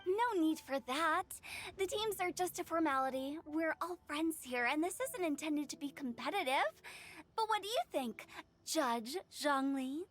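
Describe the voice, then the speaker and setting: Mischievous young director for a game: very high pitched, theatrical, declamatory, teasing. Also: female voice, animated, unhurried, fairly bright tone. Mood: upbeat. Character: playful, theatrical teenage girl director, mischievous show-off. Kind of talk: animated video game voice acting.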